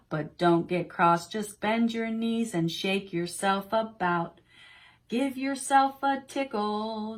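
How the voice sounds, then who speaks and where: A woman leading storytime for preschoolers, speaking in an encouraging singsong with short, simple phrases. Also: mid-range voice. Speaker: library storytime leader for preschoolers. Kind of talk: children's storytime reading and guided action song.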